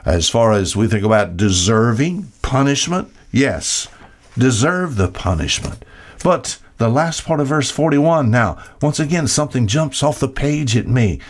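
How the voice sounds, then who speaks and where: A male preacher delivers a verse-by-verse sermon in a mid-pitched voice. He is emphatic, setting off key words with dramatic pauses and putting pointed rhetorical questions to his listeners. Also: lively intonation. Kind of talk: expository sermon, reading and explaining Bible verses.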